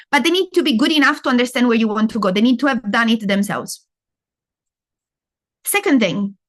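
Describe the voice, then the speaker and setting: A middle-aged woman from Italy with an Italian accent, giving a live masterclass and explaining step by step, asking and answering her own questions. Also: fairly high pitch. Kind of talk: interactive talk on learning.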